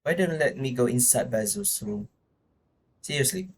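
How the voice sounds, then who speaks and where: A man's voice: medium pitch, very animated, moderate pace, vocal fry, a little hiss or hum. A man giving casual live commentary as he streams a game. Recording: game music behind.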